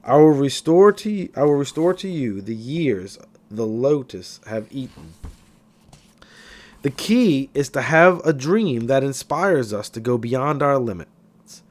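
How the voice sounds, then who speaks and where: Male narrator for a self-help audiobook: mid-pitched, animated intonation, expressive and emphatic scripted read. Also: unhurried.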